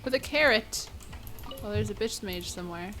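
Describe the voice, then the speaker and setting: A woman's voice: medium pitch, animated, moderate pace, bright, forceful tone, faint music behind. A woman giving casual gameplay commentary, talking to herself in short, offhand reactions. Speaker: video game streamer.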